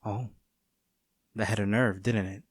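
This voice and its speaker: A man performs a smug agent in an audio drama, taunting an old friend turned enemy. The voice is on the low side and very slow, with deliberate theatrical pauses, recorded close and dry. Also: fairly even intonation, husky, no echo. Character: smug, taunting male agent, villain's bitter former childhood friend. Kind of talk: dramatic scripted roleplay monologue.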